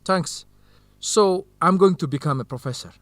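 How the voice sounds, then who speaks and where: A man's voice: high voice, moderate pace, fairly bright tone, no echo. An actor playing an earnest young male university student in love, speaking carefully in a radio drama for English learners. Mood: sincere, determined.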